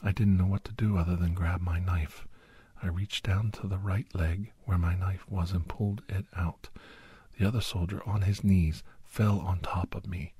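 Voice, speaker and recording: Solemn doctor-narrator for ASMR war drama, played by a man: deep, breathy, intense, close and dry. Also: mellow, no echo. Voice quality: breathy, husky, often near whisper. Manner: halting, short phrases, solemn and intense. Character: doctor recounting a harrowing battle beside his young ward. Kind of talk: dramatic first-person ASMR storytelling.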